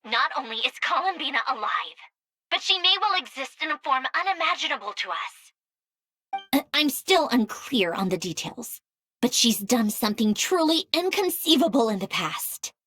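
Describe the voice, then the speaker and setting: A woman voicing an excitable, obsessive young researcher in a video game cutscene, thinking aloud in theatrical bursts. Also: sits high, moderate pace, dry recording, clean studio sound, exaggerated voice. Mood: urgent, excited. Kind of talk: animated game cutscene voice acting.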